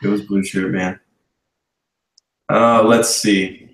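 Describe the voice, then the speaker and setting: A man's voice: lowish voice, little pitch movement, slow, echoey room. A man co-hosting a live Q&A, casually reading listener questions aloud.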